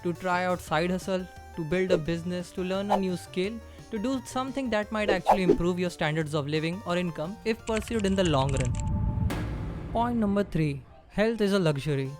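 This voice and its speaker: A man's voice: sits high, normal speed, music in the background. A man from India with a strong Indian accent, narrating a scripted explainer and addressing the viewer directly.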